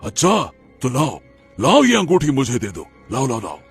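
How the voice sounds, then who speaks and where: A man playing an excitable, greedy, scheming king in a Hindi cartoon folk tale, theatrical and repeating his phrases. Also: medium pitch, normal speed, husky, faint music behind, exaggerated voice. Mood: greedy, gloating. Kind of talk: dramatic Hindi cartoon story acting.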